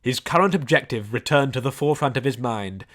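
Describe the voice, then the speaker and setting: A man reading scripted story narration in a measured storybook delivery. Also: mid-range voice, expressive, fairly bright tone. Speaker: audiobook narrator.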